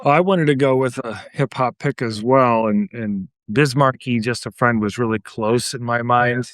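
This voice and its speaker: A man's voice: medium pitch, normal speed, no room sound. A man co-hosting a podcast, reminiscing casually about music. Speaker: podcast co-host.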